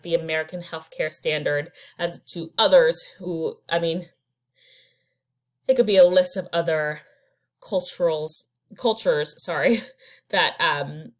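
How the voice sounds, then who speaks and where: Casual lower-voiced woman lecturing. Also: slow. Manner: casual and conversational, with fillers. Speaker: instructor. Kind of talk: recorded slide lecture.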